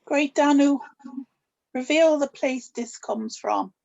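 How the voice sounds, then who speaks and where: Woman playing a high-pitched stern witch grandmother. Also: takes it slowly, gravelly, a little mellow, lo-fi sound, exaggerated voice. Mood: solemn incanting. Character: stern, evasive witch grandmother guarding family secrets. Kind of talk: table read of a TV pilot script.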